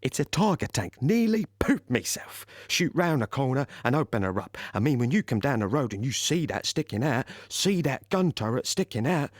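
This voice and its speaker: An actor playing a rattled young soldier, telling his war story in colloquial, excitable bursts. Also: medium pitch, normal speed, few pauses. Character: rattled young British soldier recounting a tank encounter. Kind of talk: first-person audio play monologue.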